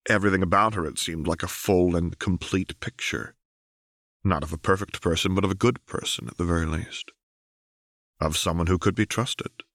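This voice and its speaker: A man with a low voice narrates a dramatic piece of fiction. The sound is close and dry, in the style of a scripted audiobook reading. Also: fairly bright tone, no echo.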